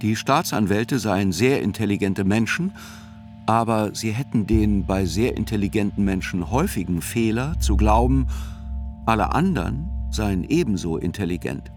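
A man narrating a radio play as a court reporter, in measured, articulate literary reportage. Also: on the low side, lively intonation, a little mellow. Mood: measured, factual. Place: studio. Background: music.

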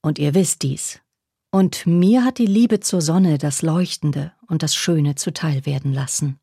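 A woman's voice: sits low, a little slow, dark tone, slightly rough, no echo, clean studio sound, full character voice. A woman reciting verse as a passionate ancient lyric poet in a radio feature. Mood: assured, admiring. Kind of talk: literary recitation of poetry.

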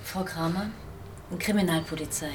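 An actress playing a composed, considerate police detective in a naturalistic crime drama. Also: female voice, fairly low pitch, steady tone, moderate pace, background noise, close to an everyday voice. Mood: gentle, official.

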